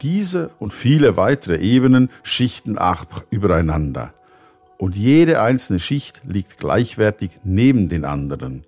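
Male podcast presenter, deep register, scripted music-magazine narration over music. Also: very animated, measured pace, a little mellow, breathy edge.

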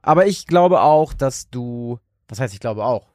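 A man's voice: medium pitch, animated, measured pace, no room sound, clean studio sound. A man co-hosting a podcast in casual chat, talking loosely and colloquially as if thinking aloud. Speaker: podcast co-host.

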